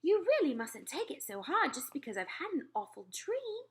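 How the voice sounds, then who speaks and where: A refined queen with a high, sweeping voice that leaps between exclamations, as a woman reading a storybook puts her on. She speaks in upper-class, commanding tones, composed yet shaken. The words come quickly. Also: wildly swooping pitch. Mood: distressed.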